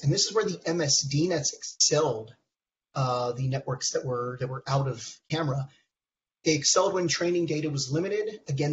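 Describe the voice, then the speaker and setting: A man in his thirties, hoarse from a cold, presenting research slides over a video call in a halting way, with many ums and restarts. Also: fairly high pitch, a bit bright, a little hiss or hum. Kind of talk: technical conference talk. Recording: dull lo-fi call audio.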